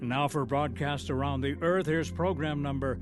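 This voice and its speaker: A man's voice: on the high side, fairly even intonation, moderate pace. An American man giving scripted, formal narration as the announcer of a radio drama. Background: music.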